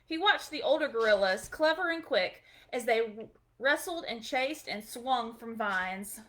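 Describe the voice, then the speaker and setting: A woman's voice: on the high side, animated, a little slow, poor audio. A woman hosting a storytime, reading a picture book aloud expressively for children, with short chatty asides.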